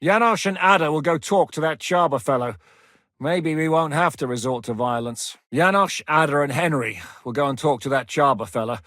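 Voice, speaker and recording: A man performs a blunt, sweary rebel commander for a video game, his gruff voice sitting a little high for a man. He snaps out orders in short, clipped lines. The studio sound is close and dry, with no room around it. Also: normal speed, fairly bright tone, no room sound, close to an everyday voice. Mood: commanding. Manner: barks curt orders, swears often. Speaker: game character.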